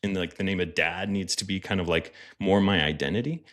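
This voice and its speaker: A man in his early thirties with a deep, low voice, speaking as a podcast guest. He thinks out loud in a rambling, self-deprecating way, circling back with fillers as he reflects. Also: fairly even intonation, a little fast. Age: 30s.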